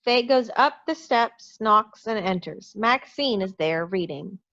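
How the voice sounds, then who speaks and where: A woman's voice: medium pitch, animated, unhurried, fairly bright tone, no room sound. A woman reading scripted stage directions for an amateur play reading over a video call. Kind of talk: scripted narration at table read. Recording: muffled dry video call.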